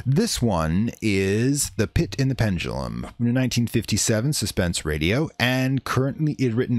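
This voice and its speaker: A man hosting a horror podcast, introducing in character with theatrical, drawn-out emphasis. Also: lowish voice, no room sound. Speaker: podcast host.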